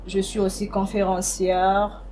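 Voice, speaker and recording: A woman from DR Congo with a Congolese French accent introduces herself formally and calmly. Her voice is mid-pitched and mellow, with faint noise behind her. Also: measured pace. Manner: composed, listing her roles. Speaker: guest.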